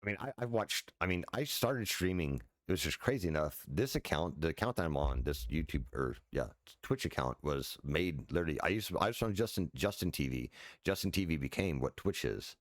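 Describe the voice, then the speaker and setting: A man in his forties, a streamer with a deep voice, chatting loosely at home with many fillers and restarts and casual swearing. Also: animated, fairly quick, few pauses, no echo, clean studio sound. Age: 40s. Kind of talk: casual rambling chat while sim racing. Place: his house.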